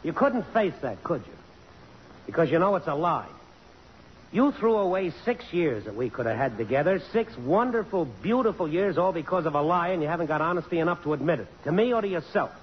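A muffled, hissy old radio broadcast of a proud, lovelorn American man. His voice sits fairly high, and he needles in a sardonic, slangy way, bitter underneath. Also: very animated, brisk, big-room sound. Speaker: radio drama actor. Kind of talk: 1950s radio drama acting.

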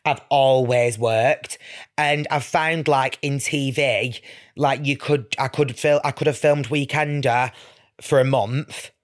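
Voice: medium pitch, fairly even intonation, takes it slowly. A person chatting casually as a podcast guest, talkative and informal, often dropping "like" into sentences. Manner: informal, talkative, heavy use of "like". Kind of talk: casual chat.

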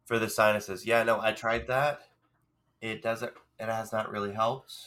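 A man co-hosting a podcast, congested and hoarse from a cold, rambling in casual chat with frequent restarts. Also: lowish voice, little pitch movement, measured pace, pushed and bright. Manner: rambling, self-deprecating, restarts often. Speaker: podcast co-host.

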